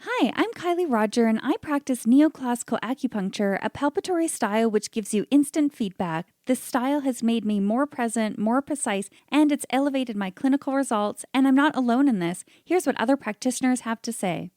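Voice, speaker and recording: A woman acupuncturist reads a promotional spot in a bright, upbeat manner. Her voice sits fairly high, and the recording is close and dry. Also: animated, brisk, few pauses, no echo. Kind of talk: scripted ad read.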